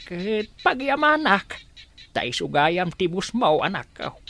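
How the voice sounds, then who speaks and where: An old father in a radio drama, his voice gravelly and bright. He is fond and advising, and his pitch swings widely as he talks slowly. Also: big pitch swings, a little hiss or hum, full character voice. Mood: fond, grateful. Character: elderly father, fond, grateful, advising his grown child. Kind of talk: Ilocano radio drama acting.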